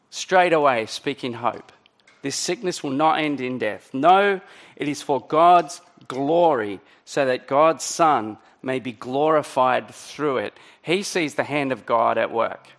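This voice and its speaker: A middle-aged man preaching a sermon in a conversational way, his voice a little croaky. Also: medium pitch, a little slow, a bit bright. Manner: conversational, many ums and restarts. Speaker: pastor.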